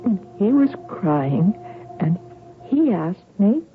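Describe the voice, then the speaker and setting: An actress playing a haunted, wistful woman who recalls her childhood in a dreamy, halting way, in radio drama style. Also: medium pitch, big pitch swings, noisy background. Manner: slow, dreamy, halting, dwelling on repeated words. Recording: tinny band-limited radio with music underneath.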